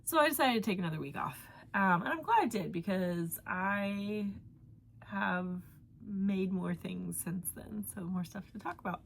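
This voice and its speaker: A woman's voice: medium pitch, expressive, moderate pace, vocal fry, slight background noise. A young woman hosting a knitting podcast from her home, chatting casually in a rambling way with fillers and a somewhat congested voice. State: congested from allergies. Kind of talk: casual chat.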